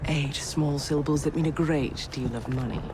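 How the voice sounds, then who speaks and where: An actress playing a shrewd, haughty Victorian businesswoman in a video game, with an English accent and a crisp, formal, imperious manner. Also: sits low, moderate pace, noisy background, lightly acted. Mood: scheming, pleased. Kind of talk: video game cutscene acting.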